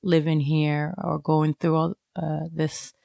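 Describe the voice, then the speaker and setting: A woman giving a reflective personal interview, speaking haltingly and often dropping in "like" and "you know.". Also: deep voice, flat delivery, vocal fry, dark tone, no echo, studio quality. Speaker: interviewed writer.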